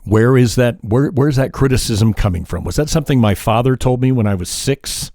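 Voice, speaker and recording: A man hosting a solo podcast, talking in a calm, measured, reflective way, sometimes pausing or correcting himself mid-sentence. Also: medium pitch, no room sound, studio quality. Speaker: podcast host.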